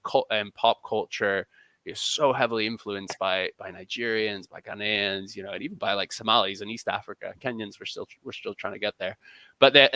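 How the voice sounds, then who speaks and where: A man's voice: on the low side, sharp tone, no echo. A man speaking as an interview guest, explaining things in a casual, conversational way with frequent "kind of" and "you know". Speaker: interview guest, football journalist.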